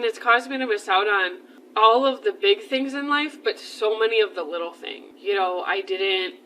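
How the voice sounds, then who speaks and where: A woman's voice: mid-range voice, sharp tone. A woman of twenty-two, a student journalist, answering interview questions in an earnest, reflective way in a newsroom. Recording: distant mic, faint room noise.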